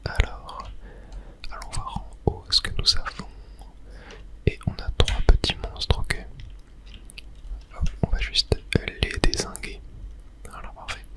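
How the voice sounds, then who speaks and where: Someone softly whispering calm, soothing ASMR commentary while playing a video game, describing each step as it goes. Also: slow, pauses often, poor audio. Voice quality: soft unvoiced whisper. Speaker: video game streamer, ASMR channel host.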